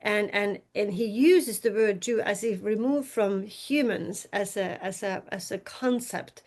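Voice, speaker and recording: A woman scholar and teacher with a Finnish accent, speaking thoughtfully in an academic discussion, often pausing and restarting her sentences. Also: mid-range voice, a little mellow. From Finland. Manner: thoughtful, halting, frequent restarts, light laughs.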